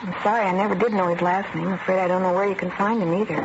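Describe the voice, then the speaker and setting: An actress playing a reluctant, apologetic shop worker, evasive and plain-spoken, in a 1950s radio drama. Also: female voice, mid-range voice, fairly even intonation, rapid-fire, lightly acted. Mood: guarded. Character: guarded, reluctant young female gift-shop worker. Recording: muffled, noisy vintage radio recording.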